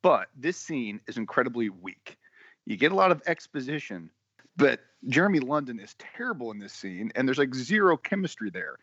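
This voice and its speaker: A man's voice: medium pitch, very animated, moderate pace, a bit bright, breathy edge, no room sound, dull audio. A man co-hosting a podcast, giving blunt, opinionated film commentary in a casual style. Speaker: podcast co-host.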